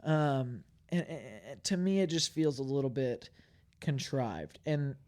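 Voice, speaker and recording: A man's voice: mid-range voice, animated, darkish tone. A man, a podcast co-host, sharing thoughtful, hedged opinions in a casual group chat. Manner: measured, thoughtful, hedging opinions.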